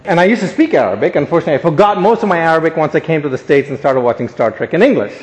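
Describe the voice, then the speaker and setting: A man's voice: a little high, expressive, rapid-fire. A young man giving a humorous, self-deprecating speech to an audience, telling stories about where he grew up. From born in Ghana, grew up in Africa, Asia and the Caribbean. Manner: self-deprecating, joking, storytelling. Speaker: guest speaker. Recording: echoey hall.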